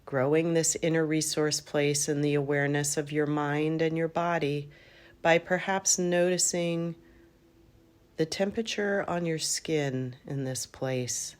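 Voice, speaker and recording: A woman with a low voice guides a deep-rest meditation. She speaks very slowly and gently, leaving long pauses between short phrases. Her manner stays calm throughout. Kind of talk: guided yoga nidra meditation.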